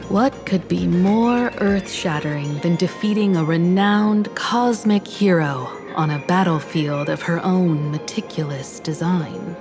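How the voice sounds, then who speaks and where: A composed, caring woman strategist from a video game, speaking fairly low and slowly. She is measured, earnest and articulate, laying things out analytically over background music. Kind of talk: video game voice acting, expository dialogue.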